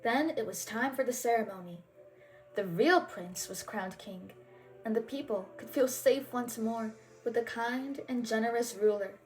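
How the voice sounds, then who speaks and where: A woman narrates a children's storybook in a fairly high voice. Her reading is very unhurried and expressive, with music playing behind her. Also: poor audio. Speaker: storybook reader and channel host. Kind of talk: storybook read-aloud narration.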